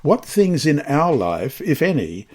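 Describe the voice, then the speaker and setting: A man from Australia reading a scripted Bible lesson and reciting scripture in a measured, solemn, deliberate manner. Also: a little high, big pitch swings, a little mellow, husky.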